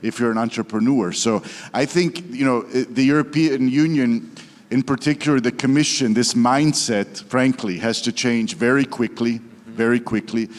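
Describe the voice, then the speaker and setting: A man from Croatia, speaking as a politician on a conference panel, halting with many fillers and restarts but blunt in his opinions. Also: mid-range voice, steady tone. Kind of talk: panel discussion remarks. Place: conference hall. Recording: long reverb of a conference hall.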